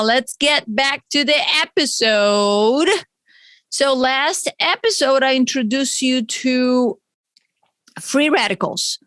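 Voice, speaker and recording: A woman's voice: a little high, fairly bright tone, dry recording. A woman with a Brazilian accent hosting a solo health podcast, chatty and explaining terms with friendly asides. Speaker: podcast host.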